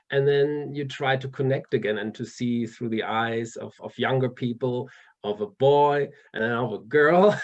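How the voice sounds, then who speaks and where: German accented guy, mid pitch, lots of ums and restarts, kinda dull lo-fi audio. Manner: hesitant, many restarts and fillers, laughs often. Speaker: author guest. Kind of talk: casual interview chat.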